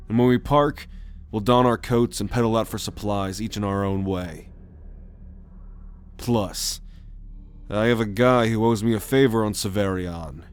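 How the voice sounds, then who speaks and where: A man performs an alien scout captain in a sci-fi ASMR drama. His voice sits low. He gives orders with calm authority and a protective, roguish edge. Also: natural voice. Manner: authoritative, measured, giving orders. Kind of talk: ASMR sci-fi roleplay acting.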